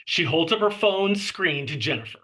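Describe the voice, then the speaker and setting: A man with a very bright, sharp voice, pitched a little high, narrates a screenplay. He reads stage directions and scene headings in scripted style. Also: expressive, moderate pace. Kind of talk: scripted narration at a table read.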